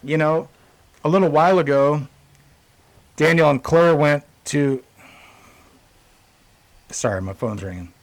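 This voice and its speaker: A man co-hosting a podcast in loose, rambling chat, stacking fillers and restarts as he talks. His voice sits a bit high for a man. The sound is dry and close, with no room around it. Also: measured pace, pauses often, dry recording. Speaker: podcast co-host.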